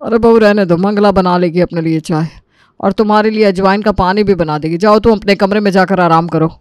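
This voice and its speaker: A man playing a kindly, concerned middle-aged father-in-law in an animated moral story, speaking in a soothing way. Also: sits high, fairly quick, smooth, dry recording, clean studio sound, close to an everyday voice. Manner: caring, soothing.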